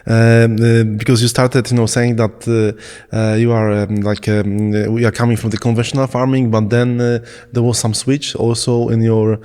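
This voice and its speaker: A man from Poland, an English speaker, hosting an interview. His questions come slowly, broken up by many uhs and ums. His voice rings out with a long reverb, as if in a hall. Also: medium pitch, little pitch movement, dark tone, clear, resonant tone. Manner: halting, heavy with uh and um fillers. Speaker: podcast host.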